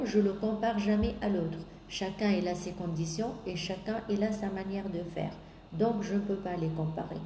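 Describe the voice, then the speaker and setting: A woman's voice: medium pitch, warm tone. French-speaking coach woman, echoey room. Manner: hesitant, many drawn-out "euh" fillers, repetitive lists. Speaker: business coach. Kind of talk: motivational sales coaching talk. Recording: echoey room with faint background noise.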